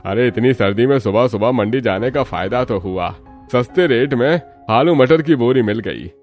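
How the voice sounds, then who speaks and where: A man's voice: medium pitch, lively intonation, moderate pace, a little mellow, close to an everyday voice. A man playing an older, homely, bossy father-in-law in a cartoon family drama. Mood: satisfied. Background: background music.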